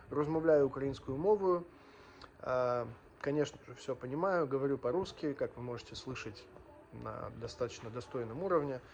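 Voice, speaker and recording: A man's voice: mid-range voice, a little mellow, dull audio, slight background noise. A man in his thirties from Ukraine and Russia telling his personal story as a vlogger, emphatic and earnest, repeating phrases for weight. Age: 30s.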